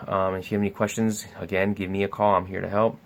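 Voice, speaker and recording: A man's voice: low voice, level tone, quick pace, a little hiss or hum. A man casually talking through product features as a store salesperson, with many uh and um fillers. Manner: easygoing, lots of uh and um fillers. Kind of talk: casual product demo talk.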